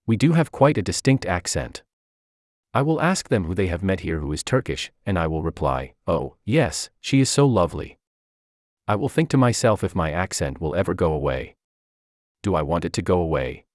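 A man reading a personal essay aloud in a measured, reflective way. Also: low-pitched, no room sound, studio quality. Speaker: article reader.

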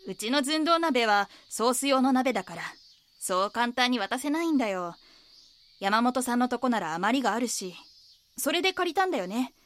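Actress doing plain-spoken diner lady, kinda high voice, a bit defensive, audio drama. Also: lively intonation, moderate pace, natural voice. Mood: frank, defensive. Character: plain-spoken woman running a local diner, defensive, frank. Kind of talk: audio drama dialogue, casual speech.

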